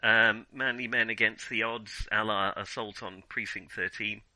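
A man's voice: on the low side, steady tone, normal speed, sharp tone, dry recording, poor audio. A man hosting a podcast, chatting casually about films in a wry, self-deprecating, jokey way. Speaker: podcast host. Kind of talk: casual film discussion with playful scripted intro.

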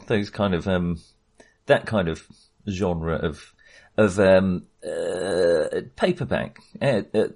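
A man's voice: lowish voice, darkish tone. A middle-aged British man in casual film discussion as a podcast co-host, often hesitant and searching for words.

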